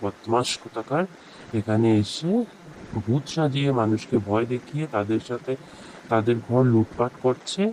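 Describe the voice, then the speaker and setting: A man's voice: mid-range voice, measured pace, darkish tone, slight background noise, natural voice, rough recording. A man playing earnest, resolute male characters in a horror cartoon, with emphatic delivery. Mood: angry, threatening. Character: earnest, resolute men of a horror cartoon: heroes, villager, policeman. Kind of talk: cartoon dialogue acting.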